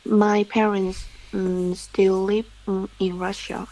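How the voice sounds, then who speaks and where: A woman's voice: mid-range voice, little pitch movement, slow pace, dark tone, rough recording. A woman, an English student answering carefully and hesitantly. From Vietnam.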